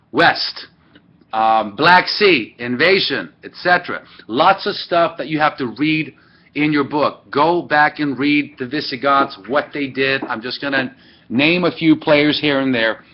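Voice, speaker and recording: A man lecturing a class, rambling and correcting himself as he goes, recorded by a webcam. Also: medium pitch. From Sweden. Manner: rambling, digressive, self-correcting. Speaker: teacher. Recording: dull lo-fi webcam audio.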